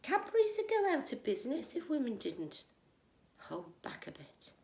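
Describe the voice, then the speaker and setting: A woman's voice: high voice, huge pitch swings, normal speed, dark tone, slight background noise, exaggerated voice. A woman acting a jaded middle-aged housewife in a wry, confiding, gossipy comic monologue. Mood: mocking, amused. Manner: wry, confiding, gossipy, with rhetorical tag questions. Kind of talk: conversational comic stage monologue. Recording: echoey room.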